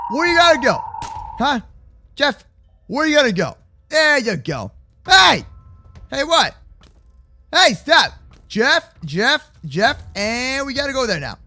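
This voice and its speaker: A young adult man improvising kids' Minecraft roleplay commentary in an excitable, slangy way, often repeating his words. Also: extremely high pitch. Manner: slangy, addresses partner as bro and man. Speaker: gaming video host and roleplayer.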